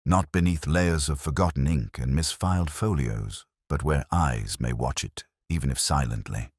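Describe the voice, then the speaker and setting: A man voicing a loyal, thoughtful Victorian gentleman, a detective's companion, with careful, questioning phrasing in an audiobook. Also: rumbling low, normal speed, breathy edge, dry recording, studio quality.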